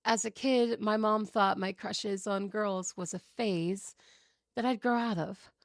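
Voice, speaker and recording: A woman acting an outgoing, cheerful young woman with a creaky edge to her voice. She chats and tells stories playfully in an audio roleplay, in a very dry recording. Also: mid-range voice, fairly even intonation, unhurried, dry recording, lightly acted. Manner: chatty, playful storytelling. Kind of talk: scripted ASMR roleplay acting.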